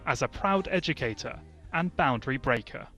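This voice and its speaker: A man's voice: medium pitch, lively intonation, moderate pace, pushed and bright. A British man narrating a scripted entertainment news report. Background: music.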